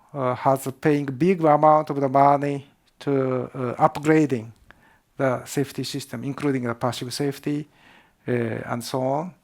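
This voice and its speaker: A man with a Japanese accent, a professor answering seminar questions in halting English and repeating words for emphasis. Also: mid-range voice, darkish tone. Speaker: professor, expert speaker.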